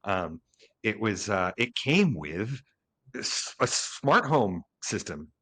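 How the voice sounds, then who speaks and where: A man's voice: on the low side, big pitch swings, takes it slowly, sharp tone, husky. A man in his forties hosting a podcast interview over a video call, chatting casually and tagging his sentences with "right?". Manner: keeps adding "right?" as a tag. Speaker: podcast host.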